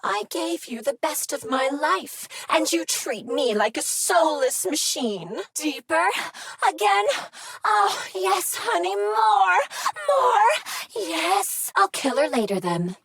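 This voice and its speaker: An actress voicing a clingy, jealous female crafting machine who flirts and purrs pet names in a breathy, seductive way. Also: sits high, animated, unhurried, hardly any pauses, a bit bright. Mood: sultry flirtatious. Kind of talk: video game character dialogue.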